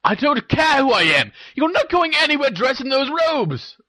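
A man's voice: very high voice, very animated, moderate pace, sharp tone, voice pushed up, full character voice. A man voicing a hot-headed, overprotective teenage boy wizard in a story, snapping and thundering. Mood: furious.

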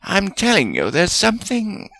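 A man voicing a fierce, excitable, jealous male wizard spirit with a snappish, theatrical manner in a storybook reading. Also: mid-range voice, measured pace, vocal fry, voice pushed up, heavily acted. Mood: snappish, frustrated.

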